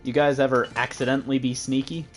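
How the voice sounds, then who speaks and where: A man's voice: medium pitch, flat delivery, fast talker, faint music behind. A young man with a Midwestern American accent giving playful, giggly commentary while streaming a game. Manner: playful, giggly, joking with chat. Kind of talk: casual gameplay commentary.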